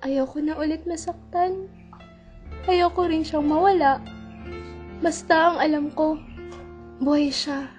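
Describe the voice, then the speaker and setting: A woman's voice: high voice, fairly even intonation, a little slow, long pauses, a little mellow, faint music behind. A woman with a Filipino accent narrating as a young woman, in a reflective and wistful way. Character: young woman recalling her love, wistful. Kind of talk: scripted narration in audio drama.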